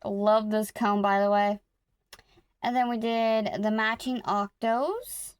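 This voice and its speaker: A woman vlogging casually about her crochet projects, rambling and correcting herself as she goes, her throat a little rough. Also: medium pitch, slow pace, dry recording, studio quality. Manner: rambling, self-correcting, talks to viewers while working. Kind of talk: casual chatty vlog.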